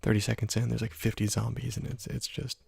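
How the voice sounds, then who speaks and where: A man speaks very softly for an ASMR let's play, his voice extremely breathy and often slipping toward a whisper. Heavy vocal fry crackles through it. He muses casually and haltingly, close to the mic in a dry space. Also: fairly low pitch, level tone, no echo. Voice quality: hushed, breathy, partly whispered. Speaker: gaming video host. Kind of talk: ASMR let's play commentary, soft-spoken. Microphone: close microphone.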